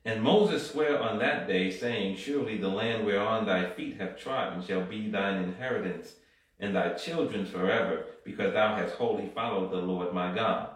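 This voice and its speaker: A man's voice: lowish voice, normal speed. A man preaching a sermon, reading scripture aloud and building to fervent exhortation with frequent amens and call-and-response, recorded in a church hall. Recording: very echoey room from far off.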